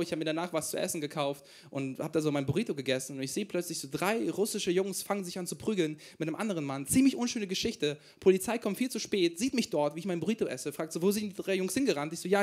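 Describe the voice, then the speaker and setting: A young man from Ukraine works a small crowded room with a handheld mic. His voice sits high and he tells self-mocking stories, acting out each quote. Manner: chatty, self-mocking, storytelling with acted-out quotes. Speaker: stand-up comedian. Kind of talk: stand-up comedy set.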